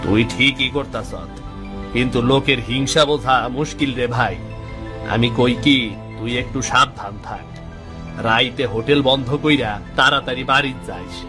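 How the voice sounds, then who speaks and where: A man's voice: mid-range voice, moderate pace, natural voice. A man voicing a concerned village friend in a cartoon, advising like a brother. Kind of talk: cartoon story acting. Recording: loud background music.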